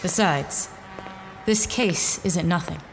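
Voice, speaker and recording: A woman's voice: on the low side, slow, poor audio. Woman as a terse detective, faint music behind. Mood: wary.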